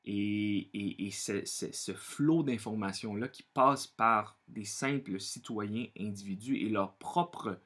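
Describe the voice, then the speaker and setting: Low-voiced, halting Québécois man. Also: a bit bright. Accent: Quebec French. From Quebec, Canada. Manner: halting, searching for words, repeating himself; earnest. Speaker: vlogger sharing personal opinions. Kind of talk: unscripted monologue to camera.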